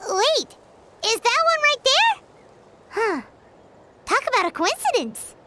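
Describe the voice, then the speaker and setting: A tiny, chirpy fairy companion from a video game, talking about herself in the third person. Her voice sits extremely high and bounces all over the place. There is clear game noise underneath. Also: very animated, slow, bright, forceful tone, full character voice. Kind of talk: game voice acting. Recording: gameplay audio with clear background noise.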